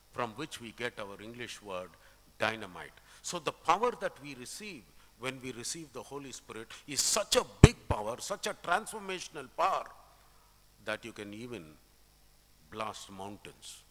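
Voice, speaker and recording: Male preacher, middle-aged, Indian accent, emphatic teaching delivery, sharp bright tone, long church reverb. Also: mid-range voice. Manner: emphatic, explanatory, deliberate phrasing. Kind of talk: expository sermon with scripture readings. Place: indoor church, at a pulpit. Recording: reverberant church hall sound.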